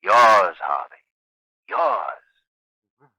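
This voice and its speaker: An actor playing a menacing invisible avenger in a 1930s radio drama, with a filtered voice and a slow, commanding, sinister manner. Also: male voice, low voice, animated, pushed and bright, breathy edge, dry recording, heavily acted, low-quality recording. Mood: commanding, threatening. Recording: old 1930s radio recording.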